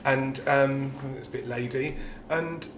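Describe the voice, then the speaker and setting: A man's voice: medium pitch, steady tone, takes it slowly. A man with a British accent talking informally and reflectively in an interview, often letting a thought trail off. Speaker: pantomime dame performer. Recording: roomy echo with background noise.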